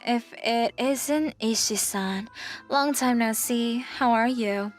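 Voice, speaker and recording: A woman's voice: a little high, slow, faint music behind. A woman playing a condescending young female travel agent in a manga dub, with a phony, mock-polite manner. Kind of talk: English manga dub acting.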